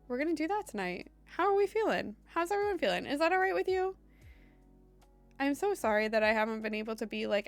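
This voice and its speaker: A woman with a fairly high, hoarse voice that keeps threatening to give out. She talks to her stream audience in a loose, rambling way, full of "um" and "like". Faint music plays behind her. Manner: chatty and meandering, heavy on fillers like "um" and "like". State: hoarse, voice intermittently giving out. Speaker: live streamer and medium. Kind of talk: casual live-stream chat with viewers.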